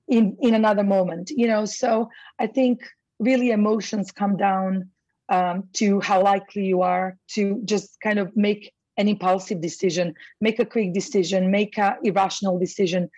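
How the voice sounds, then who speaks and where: A woman's voice: mid-range voice, darkish tone, dry recording. A woman from Croatia, a guest expert, talking through her ideas in a casual interview, with lots of "you know" and "um". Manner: thoughtful, many fillers like "you know".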